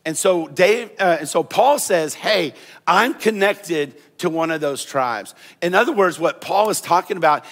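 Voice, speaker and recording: A male pastor preaching a sermon in a church, talking conversationally, repeating phrases and warming up as he goes. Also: a little high. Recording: long hall reverb.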